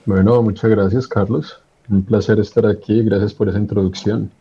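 A man in his thirties with a Bogotá Colombian accent, a radio guest who tells his story in a relaxed way and slows for emphasis. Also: lowish voice, a little hiss or hum. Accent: Bogotá Colombian Spanish. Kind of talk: radio interview. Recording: dull lo-fi line.

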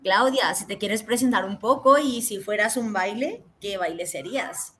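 A woman's voice: mid-range voice, normal speed, fairly bright tone, a little hiss or hum. A woman hosting a talk program, upbeat and emphatic, with frequent fillers. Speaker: host.

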